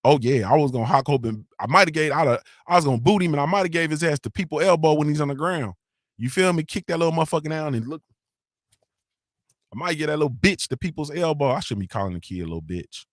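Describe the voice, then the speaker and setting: A man's voice: on the high side, lively intonation, fast talker, no room sound, clean studio sound. A man hosting a morning show, chatting loosely and cussing often, with slangy storytelling and constant "you feel me" tags. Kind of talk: casual live-stream chat reading comments.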